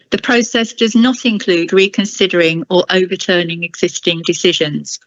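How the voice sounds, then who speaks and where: A woman delivering a formal prepared address at a council meeting in a deliberate, critical and emphatic manner. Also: on the low side, fairly bright tone, no echo, highs cut off.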